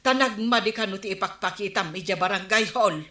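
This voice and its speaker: A woman's voice: mid-range voice, a little fast, pushed and bright, reverberant, natural voice. A woman with an Ilocano accent playing a scolding, exasperated village woman in a comedy radio drama. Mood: reproachful. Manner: nagging, reproachful.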